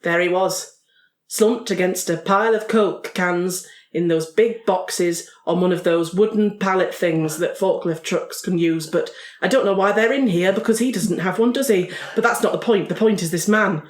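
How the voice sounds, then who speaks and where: A woman's voice: lowish voice, normal speed, darkish tone, lightly acted. Quirky woman playing a investigator in a roomy space. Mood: matter-of-fact, musing. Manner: rambling, matter-of-fact storytelling with asides. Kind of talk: first-person improvised audio drama narration.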